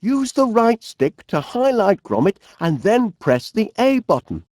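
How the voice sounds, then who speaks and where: A man's voice: high-pitched, big pitch swings, measured pace, darkish tone, dry recording, clean studio sound. A man voicing a cheerful, fussy middle-aged inventor with a Northern English accent in a video game, genial and bumbling. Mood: helpful, chirpy. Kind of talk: animated video game character voice lines.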